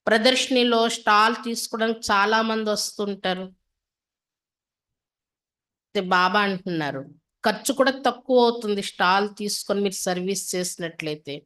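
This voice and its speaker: Female spiritual teacher, mid-pitched voice. Reads scripture and explains it step by step, repeating and questioning. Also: fairly bright tone. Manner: instructive, repeats and paraphrases, with rhetorical questions. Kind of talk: religious discourse, reading aloud then translating and explaining.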